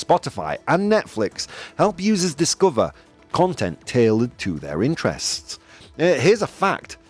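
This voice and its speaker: Animated rambling male podcast host. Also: mid-range voice. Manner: animated, rambling, frequent fillers and self-corrections. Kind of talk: casual opinion talk show. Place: Spain.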